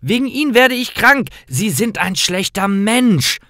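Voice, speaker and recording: A man voicing an angry old lady in a story, shouting indignantly as she scolds. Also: high voice, big pitch swings, unhurried, dry recording, studio quality, voice pushed up, exaggerated voice. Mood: outraged shouting.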